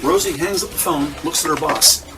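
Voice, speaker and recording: A man's voice: a little high, normal speed, noisy background. A man reading scripted dramatic narration for an audio drama.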